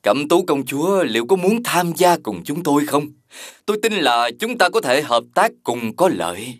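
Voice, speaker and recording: Sly young prince with swooping delivery. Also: male voice, a little high, normal speed, dry recording, clean studio sound. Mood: flattering, sly. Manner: smooth-tongued, flattering, courtly. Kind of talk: Vietnamese animated fairy tale voice acting.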